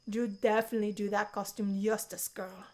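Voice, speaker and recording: A woman's voice: medium pitch, level tone, normal speed, lightly acted. A woman voicing a confident, teasing young college woman with a sly, theatrical manner in a visual novel. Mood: sassy, flattering.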